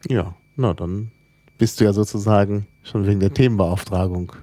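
A man hosting a podcast, introducing his guests and asking questions in a relaxed way. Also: fairly low pitch, normal speed, no room sound. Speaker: podcast host. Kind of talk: casual podcast interview.

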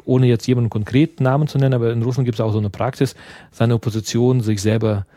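A man's voice: medium pitch, fairly even intonation, quick pace, mellow, no echo. A man from Russia giving an interview in a second language, explaining earnestly and searching for words as he goes. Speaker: interview guest, party activist.

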